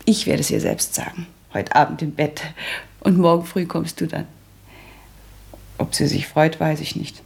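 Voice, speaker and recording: An actress playing a prim, practical and resolute young woman in a German radio drama, speaking firmly with a husky, creaky voice. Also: sits low, steady tone, moderate pace, long pauses, darkish tone, exaggerated voice. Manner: firm, matter-of-fact.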